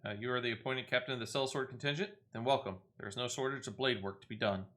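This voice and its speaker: A man hosts a casual video game playthrough in a bright, forward voice at a middling pitch. He talks half to himself as he goes, switching between offhand remarks and reading game text aloud. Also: steady tone, fast talker. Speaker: gaming video host.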